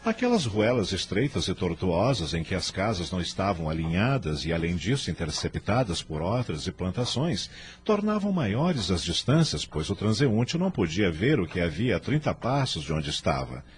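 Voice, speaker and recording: A man's voice: low-pitched, sing-song, moderate pace. A man with a Brazilian accent narrating a story in a scripted, dramatic style.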